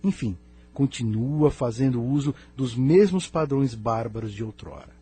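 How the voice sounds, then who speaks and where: Husky, solemn venerable elder spirit, acted by a man, Brazilian. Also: medium pitch, dark tone. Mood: grave, concerned. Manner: solemn, preaching, measured. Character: venerable male spirit elder from another planet, grave and wise. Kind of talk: Brazilian spiritist audio drama acting. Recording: music bed behind the voice.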